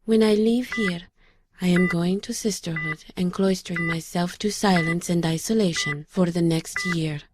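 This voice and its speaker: A resolute mystic woman voiced by an actress speaks in gentle, deliberate and formal phrases. She is solemn, in an audio drama scene with clear noise behind her. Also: fairly low pitch, lightly acted. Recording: clearly noisy background.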